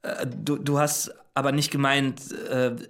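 A man's voice: mid-range voice, little pitch movement, measured pace, fairly bright tone. A man playing a socially awkward young maths student, hesitant and nervous, in a naturalistic radio drama. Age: young adult.

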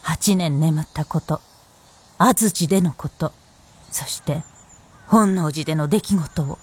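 A woman's voice: low voice, slow, breathy edge. A woman reading scripted narration for a Japanese radio drama.

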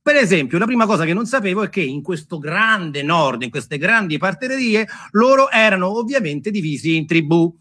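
A man's voice: sits high, sing-song, moderate pace, hardly any pauses, pushed and bright, husky. A man, telling a story as a theatrical monologue in a playful, conversational way. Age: 40s. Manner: conversational, playful, mimics sounds with the mouth.

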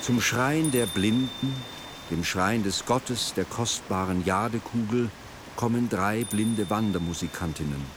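A man reading literary narration for a radio play in a measured, formal way. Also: fairly low pitch, background noise.

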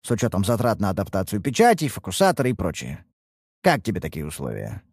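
A man's voice: fairly low pitch, wildly swooping pitch, fast talker, a little mellow, breathy edge, no room sound, studio quality, voice pushed down, exaggerated voice. A man voicing a sardonic, bossy mage master with a mocking, persuasive manner in a fantasy audiobook. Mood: teasing, persuasive.

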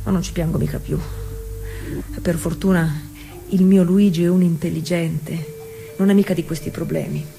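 A woman's voice: lowish voice, steady tone, normal speed, vocal fry, long pauses, mellow, soft background music. An actress playing a feisty, devout working-class woman cyclist, confiding in Jesus in a colloquial stage monologue, repeating words insistently. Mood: wry, bitter. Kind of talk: theatrical monologue, intimate and colloquial.

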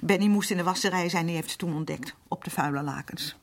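A woman playing a worn-down older working-class housewife and mother in a Dutch radio drama, rambling on in a plaintive, confiding way with a husky voice. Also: on the low side, fast. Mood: confiding, bitter.

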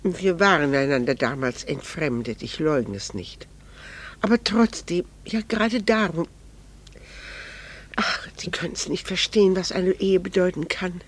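An actress in a German radio drama playing a proud, candid, melancholy married woman, speaking in a measured, formal and earnest way. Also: fairly low pitch. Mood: anguished, earnest.

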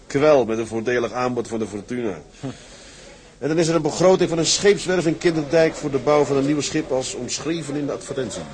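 A man's voice: medium pitch, brisk, a little mellow, close to an everyday voice. An actor in a Dutch radio drama playing a genial, plain-spoken sea captain who addresses his men in a businesslike way.

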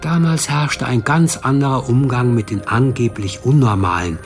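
An actor playing a matter-of-fact male official at a care institution, measured and polite, in a naturalistic radio drama. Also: medium pitch, soft background music, natural voice.

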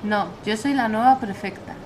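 A woman's voice: medium pitch, moderate pace, a little mellow, natural voice. A woman playing a cheeky, unfazed new schoolgirl prefect in an amateur radio play. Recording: very noisy home recording.

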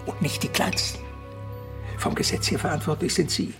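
An actor in a radio crime drama playing a skeptical, pedantic police commander, dry and argumentative. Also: male voice, on the high side, fairly even intonation, moderate pace, gravelly. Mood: reproachful. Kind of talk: German radio play acting. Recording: studio drama with music behind.

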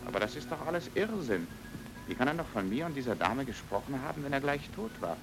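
An actor playing an earnest, persistent foreign investigator in an old German radio play, with probing, urgent questions. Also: male voice, medium pitch, big pitch swings, fast, gravelly, over music. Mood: indignant. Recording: noisy old radio drama recording.

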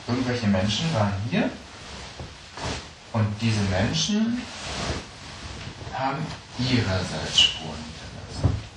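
A man reflectively thinking aloud to himself as he talks. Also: lowish voice. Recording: faint background noise.

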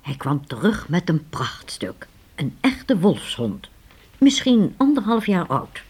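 A woman with a husky voice narrating a radio play as a dramatic, scripted storyteller. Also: fairly low pitch, sing-song, takes it slowly, darkish tone.

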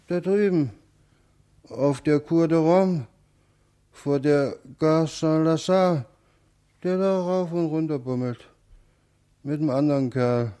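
An actor playing a slow, marvelling bystander in a radio play monologue, speaking in deliberate short phrases. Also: male voice, fairly high pitch, dark tone, clean tone. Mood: musing recognition. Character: slow, marvelling male bystander gawking at a crowd.

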